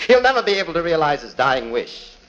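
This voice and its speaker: An actor in a 1940s radio horror play, playing a deranged murderer who gloats with menacing, theatrical politeness. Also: male voice, on the high side, big pitch swings, fairly quick, a bit bright, muffled recording. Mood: gloating menace. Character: deranged, gloating male murderer lurking in his brother's tomb. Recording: old 1940s radio recording.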